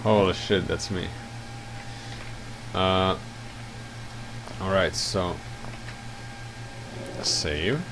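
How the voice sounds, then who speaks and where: A man casually commenting on his gameplay, mumbling and swearing at what he sees. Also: background noise. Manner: low, slow, swearing and mumbling reactions. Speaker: gameplay streamer.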